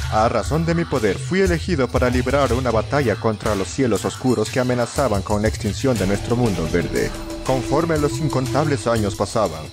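A man acts out an ancient witch-doctor spirit trapped in a mask, for a dubbed short film. He speaks Latin American Spanish with solemn, formal gravity and wide swings of pitch, over prominent music. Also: lightly acted.

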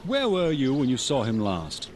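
A man's voice: mid-range voice, wildly swooping pitch, moderate pace, a little hiss or hum. A man playing a dry, commanding army major investigating a crime in a radio drama. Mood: sceptical, sardonic. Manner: dry, authoritative.

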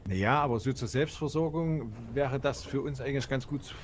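A man with an average-pitched voice improvises in an online role-play game. He talks things through in a reassuring, reasoning manner. There is faint noise in the background. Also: brisk. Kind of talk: improvised in-character chat in a survival role-play.

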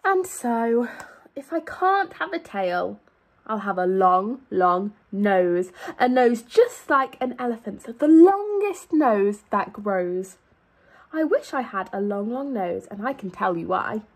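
A woman's voice: mid-range voice, sing-song, slow. A young woman reading a children's storybook aloud as a teacher, expressively, drawing out key words for emphasis. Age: young adult. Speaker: primary school teacher.

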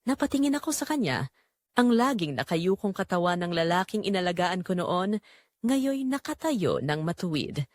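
A woman's voice: on the low side, very animated, normal speed, dry recording. A woman narrating a dramatic first-person story as a scripted audio drama.

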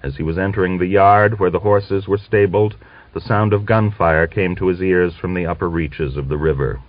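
Male audiobook narrator, low register, close and dry, on a slightly dull older recording. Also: moderate pace, no room sound. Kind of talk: scripted literary narration.

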